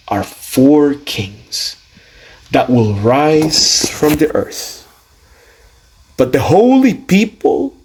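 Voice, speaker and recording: A man's voice: a little high, a little mellow, slightly rough, poor audio. A man reading scripture dramatically in a solemn, declamatory manner, stretching out phrases for emphasis. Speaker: student reader of scripture.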